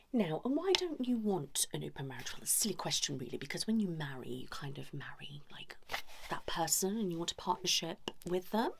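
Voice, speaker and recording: A woman's voice: on the low side, noisy background. Woman role-playing a whispery, blunt couples therapist, ASMR. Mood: probing, sceptical. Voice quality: breathy soft-spoken, often slipping into whisper. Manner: fussy, chiding, pausing as if listening to clients. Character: blunt, judgmental female couples therapist, brisk and exasperated. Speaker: ASMR role-play performer.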